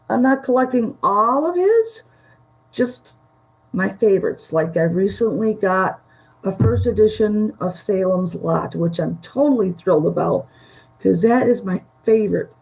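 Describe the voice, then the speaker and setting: A woman from the Buffalo area with a Western New York Great Lakes accent, chatting slowly with many pauses on a live stream from home. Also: mid-range voice, darkish tone, rough recording. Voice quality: gravelly. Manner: slow, halting, many fillers. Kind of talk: casual live stream chat. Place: home room.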